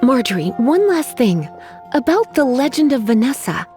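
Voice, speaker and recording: A woman's voice: sits high, big pitch swings, faint music behind. A woman playing a languid, teasing librarian in a video game, coy and polite with menace underneath. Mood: coy, dry. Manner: coy, sighing, drawn-out politeness. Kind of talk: English video game voice acting.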